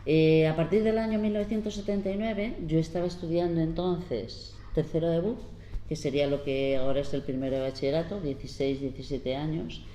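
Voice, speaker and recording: A woman in her fifties from La Mancha gives an earnest, unhurried public talk as a panel speaker, pausing often as she explains. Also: deep voice, fairly even intonation, dark tone. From La Mancha, Spain. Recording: roomy hall with faint background noise.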